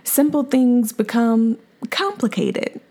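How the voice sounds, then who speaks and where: A woman hosting her own podcast as a mom therapist and coach, talking in a soft, calm, friendly way. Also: on the high side, takes it slowly. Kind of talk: solo podcast monologue.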